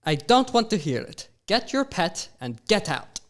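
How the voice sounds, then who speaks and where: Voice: medium pitch, big pitch swings, a little slow. A curt, dismissive authority figure barks orders, played by an amateur actor. His lines rise and fall widely, and every sentence is short and commanding. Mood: stern. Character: stern male head of science, dismissive.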